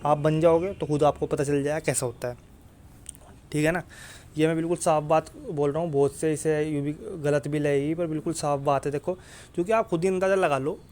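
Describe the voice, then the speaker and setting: A man's voice: on the high side, steady tone, a little fast, darkish tone. A man from India with a North Indian accent, giving an informal explainer straight to viewers in a blunt, insistent manner, repeating his points and checking they follow. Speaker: exam coaching YouTuber.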